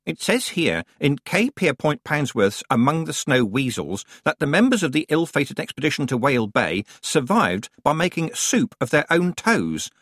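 A man's voice: fairly high pitch, big pitch swings, moderate pace, hardly any pauses, a bit bright, no echo, studio quality, pitched above the performer's own voice. A man voicing a bookish, matter-of-fact deputy librarian. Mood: dry.

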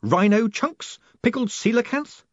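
A man's voice: very high voice, expressive, a little slow, dry recording, muffled recording, pitched above the performer's own voice. A man voicing a stuffy, grumbling wizard academic in an audiobook, fussy and clubbish. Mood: exasperated. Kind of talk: audiobook character voice.

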